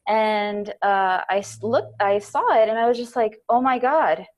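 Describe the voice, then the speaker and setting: A woman's voice: a little high, unhurried. A woman from Puerto Rico co-hosting a podcast, talking in a casual, rambling and effusive way. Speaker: podcast co-host. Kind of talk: casual chat.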